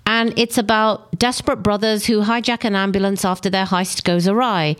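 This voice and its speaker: A woman's voice: medium pitch, steady tone, normal speed, clear, resonant tone. A woman co-hosting a film podcast, chatting and teasing casually as she recommends films. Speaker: podcast co-host.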